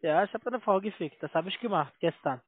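A man's voice: high voice, animated, brisk, husky. A man cold-calling as a call-centre agent, pushy and using non-native phrasing. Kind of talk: phone sales call. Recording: narrow, tinny phone line.

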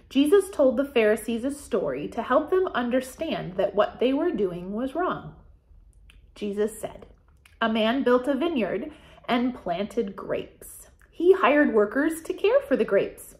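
A woman in her forties with an American accent speaks as a pastor to young children. Her voice sits somewhat high, and she moves very slowly through simple, deliberate phrases, as in a storytime lesson. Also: a little mellow. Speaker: pastor leading children's Bible story time. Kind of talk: children's storytime, reading and explaining. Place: small office, indoors.

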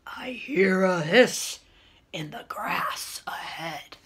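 A big, hungry, gullible storybook monster, acted out by a woman, speaking very slowly. Each word is stretched and deliberate. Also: on the high side, big pitch swings, voice pushed down, heavily acted. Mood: hushed, wary. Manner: drawn-out, deliberate words, sometimes hushed. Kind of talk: children's storybook read-aloud.

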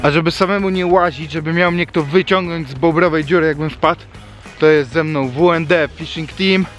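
A man's voice: fairly high pitch, steady tone, normal speed, fairly bright tone, soft background music. A man in his thirties filming a fishing vlog outdoors by a small river, chatting and explaining as he fishes. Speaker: fishing vlogger. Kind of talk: casual outdoor vlog talk.

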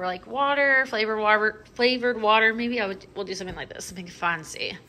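A young American woman with a bright, forward voice at an average pitch. She chats casually, vlogger style, wandering through small asides as she shows items. It is recorded on a phone in a home kitchen with clear background noise. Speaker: vlogger and mother showing a grocery haul. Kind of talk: casual chatty haul narration. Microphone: handheld phone behind the camera.

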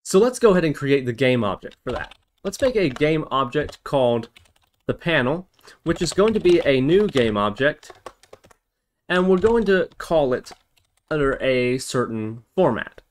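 A man's voice: mid-range voice, big pitch swings, a little slow. A man narrating a programming tutorial, explaining step by step and thinking aloud as he goes. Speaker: tutorial host.

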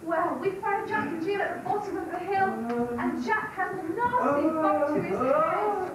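A woman playing a brisk comic doctor in an amateur stage pantomime, projecting theatrically. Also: very high voice. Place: stage in a hall before an audience. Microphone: distant camera microphone. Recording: echoey hall from far off, very noisy camcorder.

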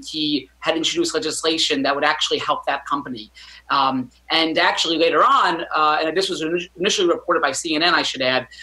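A man in his thirties gives a remote news interview from a book-lined home study. His voice sounds bright and forward, and he works through his points with many fillers and self-corrections. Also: a little high, low-quality recording. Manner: hesitant, many fillers and restarts. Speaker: physician guest commentator.

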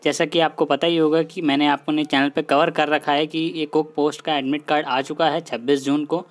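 A man's voice: on the high side, level tone, brisk. A man hosting an informal explainer, speaking directly to viewers, repeating himself and mixing in English words. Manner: repetitive, mixes English words into his talk. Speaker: host of an exam news channel.

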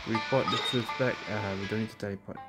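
A woman's voice: a bass voice, fairly even intonation, fast. A woman giving casual, offhand commentary as she streams a video game. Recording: game music behind the voice.

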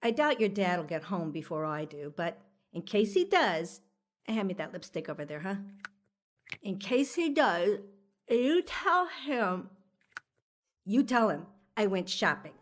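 A woman's voice: mid-range voice, very animated, measured pace. An actress playing a depressed, neglectful mother in a radio drama, weary and tearful at times, curt at others.

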